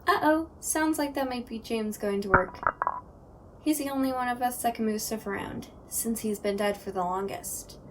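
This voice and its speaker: A woman's voice: a little high, moderate pace, distant mic, heavy background noise, lightly acted. A woman playing a goofy, playful ghost who loves puns in an audio roleplay, chirpy and eager. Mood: chatty, eager.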